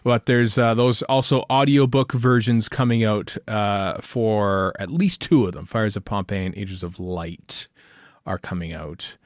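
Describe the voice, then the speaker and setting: A man's voice: fairly low pitch, a little slow, no echo, studio quality. A middle-aged man hosting a fan podcast in casual, chatty, rambling talk. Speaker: podcast host.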